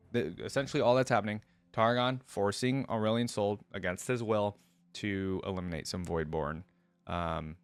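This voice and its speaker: A man reading a story aloud and breaking into casual, expressive, slangy commentary as a reaction host. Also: on the low side, smooth.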